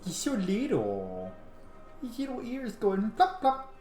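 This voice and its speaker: Gamer chatting over a playthrough, up and down voice, gushes and swears, echoey, quiet game music. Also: mid-range voice, wildly swooping pitch, slow pace. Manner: gushing, sweary asides to the game. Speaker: streamer playing a roleplay game. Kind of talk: casual gameplay commentary. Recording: roomy echo, game music behind. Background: video game music.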